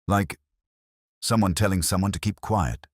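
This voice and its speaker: A man's voice: low voice, normal speed, dry recording, studio quality, pitched above the performer's own voice. A man voicing an eager, earnest police sergeant who offers theories as the sidekick in a mystery story.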